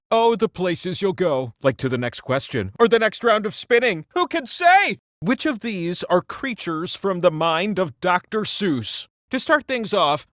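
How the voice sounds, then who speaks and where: An actor playing a cocky, ancient talking wheel who hosts a video game quiz show with pompous, playful theatrical delivery. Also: male voice, a little high, a bit bright, dry recording, clean studio sound. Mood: grandiose, teasing.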